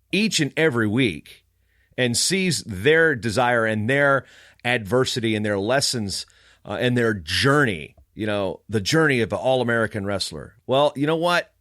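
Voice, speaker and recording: Man, medium pitch, sarcastic edge, punchy emphasis, conversational podcast rant, dry room. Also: fairly bright tone, no room sound. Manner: snarky, emphatic. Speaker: wrestling podcaster. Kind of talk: casual storytelling rant with read-out email.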